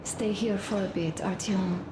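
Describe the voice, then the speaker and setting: An actress playing a tender young soldier's wife in a video game, confiding gently and sorrowfully. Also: female voice, medium pitch, steady tone, a little slow, close to an everyday voice. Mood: grieving. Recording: echoey room, noisy ambience.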